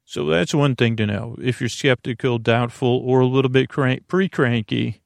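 A man with a creaky, fry-laden voice at an average pitch hosts a bedtime podcast. He meanders conversationally through tangents, mumbling, stumbling and gently putting himself down. The sound is dry, with no room echo. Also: normal speed. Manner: meandering, mumbling, stumbling, self-deprecating, with tangents and mixed-up words. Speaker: podcast host. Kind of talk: rambling, conversational bedtime sleep podcast monologue.

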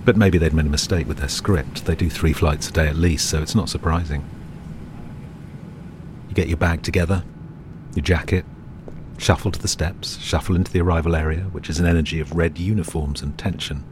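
A British man reads a second-person audio drama as narrator, in a measured, understated and uneasy storytelling manner. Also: very deep voice, steady tone, noisy background. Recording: music bed behind the voice. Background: music.

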